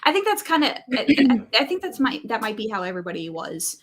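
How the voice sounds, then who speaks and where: Female book club co-host, higher-pitched voice, casual conversational discussion. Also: very animated, fast talker, fairly bright tone.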